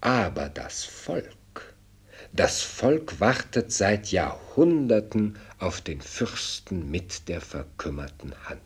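Deep, husky old courtly statesman. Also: big pitch swings, slow, heavily acted. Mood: solemn, confiding. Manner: solemn, ceremonious, theatrical delivery. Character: elderly courtly statesman addressing his young prince. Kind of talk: German radio drama acting.

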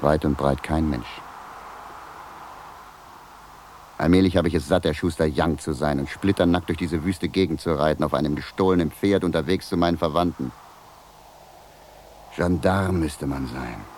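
Weary wanderer, very deep voice, noisy recording. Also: male voice, normal speed, darkish tone. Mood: fed up. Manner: theatrical musing, talking to himself. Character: weary, freezing male wanderer muttering to himself at night. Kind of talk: German radio drama acting, soliloquy. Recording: noisy 1970s radio drama recording.

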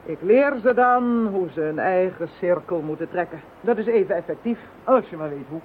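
A man's voice: high-pitched, animated, normal speed. Een man die in een hoorspel een kalme, belerende kenner van het bovennatuurlijke speelt. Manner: lecturing, self-assured. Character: calm male occult expert, confident and wry. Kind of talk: radio play acting. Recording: lo-fi phone-band radio recording with faint hiss.

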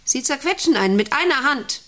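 A woman's voice: high-pitched, lively intonation, brisk, pushed and bright. An actress in a German radio play playing an imperious, obsessive female factory boss with a haughty, commanding, brooding manner. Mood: agitated, obsessive.

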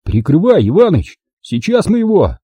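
Man acting a rowdy bellowing tough guy, pitched high. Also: huge pitch swings, fairly quick, mellow, breathy edge, dry recording, lo-fi sound, heavily acted. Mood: urgent bellowing. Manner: bellowing, cursing, mocking. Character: gleeful, foul-mouthed brawling tough man.

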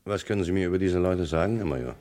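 A man's voice: low voice, very fast, a little mellow, natural voice. A man playing a sober, persistent police inspector in a vintage radio crime drama, probing and matter-of-fact. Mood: inquisitive.

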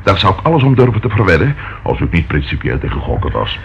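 A keen detective in a radio drama, his lowish voice swinging expressively up and down. He theorises eagerly. The old recording sounds muffled. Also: sing-song, quick pace, gravelly, a little hiss or hum, heavily acted. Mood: businesslike. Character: keen, speculative police detective colleague. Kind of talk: radio play acting.

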